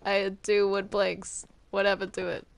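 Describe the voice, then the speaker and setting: A woman's voice: mid-range voice, monotone, normal speed, vocal fry, background noise. A woman chatting casually in improvised roleplay while playing a game. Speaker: player in a Minecraft roleplay. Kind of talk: casual improvised roleplay chat during gaming.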